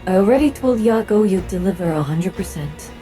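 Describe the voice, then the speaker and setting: A woman playing a cold, domineering executive in an audio drama, curt, measured and condescending. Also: medium pitch, expressive, warm tone, natural voice. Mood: smug, threatening. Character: cold, domineering corporate executive, sly and menacing. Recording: music under the voice.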